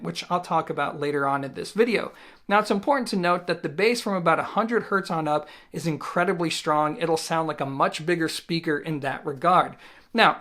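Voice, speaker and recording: A man in his thirties giving a conversational product review to camera, explaining things in a measured way with emphatic pauses. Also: sits high, fairly bright tone. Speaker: audio gear reviewer and host. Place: small indoor home listening room.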